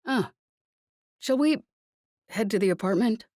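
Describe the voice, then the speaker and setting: A woman's voice: fairly high pitch, animated, measured pace, darkish tone, dry recording, clean studio sound, pitched above the performer's own voice. A woman voicing an embarrassed male government official in an audiobook, polite, formal and hesitant. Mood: awkward, polite.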